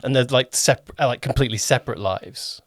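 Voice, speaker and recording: A man's voice: medium pitch, fairly quick, no room sound, studio quality. A man hosting a podcast, chatting casually as an interviewer and often rephrasing as he goes. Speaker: podcast host and producer.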